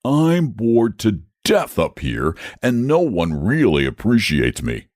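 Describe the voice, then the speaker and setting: A man's voice: lowish voice, huge pitch swings, slow pace, darkish tone, dry recording, clean studio sound, pitched above the performer's own voice, exaggerated voice. A man voicing a lazy, genteel male dragon who drawls in a leisurely, fussy way. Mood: placid.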